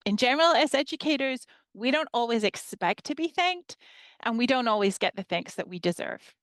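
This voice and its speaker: A woman in her fifties from northern England, a history professor giving a measured workshop presentation to educators and reading her slides aloud. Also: on the high side, lively intonation, no room sound.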